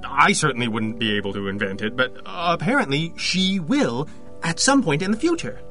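Hesitant, lively young man, acted in audio drama. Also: on the high side, moderate pace, faint music behind. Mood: earnest. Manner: hesitant, stammering. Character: awkward, earnest young male photographer, hesitant romantic.

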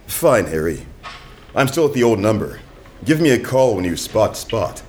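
A man playing a wisecracking hardboiled detective in a noir radio drama, dry and deadpan with his wordplay. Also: fairly low pitch, normal speed, slight background noise. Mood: wry, persistent.

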